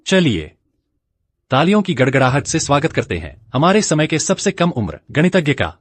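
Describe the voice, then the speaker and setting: An actor playing a formal academy official hosting an award ceremony in a dubbed drama. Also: male voice, a little high, sing-song, moderate pace, a little hiss or hum. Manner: formal, announcing. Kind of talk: Hindi dub of a short drama. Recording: faint music behind.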